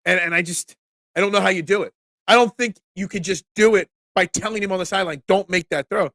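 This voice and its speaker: A fairly high-voiced man hosts a sports talk stream, sounding congested, as if he is catching a cold. He rants with excitable, opinionated energy and trips over his own restarts. The sound is close and dry, with no room echo. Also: quick pace. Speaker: sports talk show host. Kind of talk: casual live-stream sports talk, reading chat comments.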